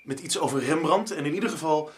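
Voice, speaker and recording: An actor in a radio drama playing an earnest, easily disheartened male researcher, hesitant and excitable. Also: fairly high pitch, fast, slight background noise, natural voice. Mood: intrigued, tense. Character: earnest, disheartened male researcher-writer chasing an art discovery.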